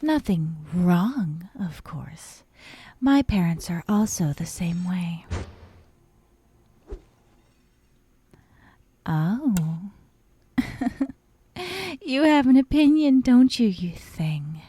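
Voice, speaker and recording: An obsessive young yandere woman, played by a female ASMR performer, speaks very slowly in a soft, husky half-whisper. She is sweetly menacing and sadistic, a possessive captor in an intimate roleplay drama. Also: on the low side, expressive, dry recording, exaggerated voice. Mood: sweetly sinister. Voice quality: soft, breathy. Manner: slow, breathy half-whispers broken by giggles.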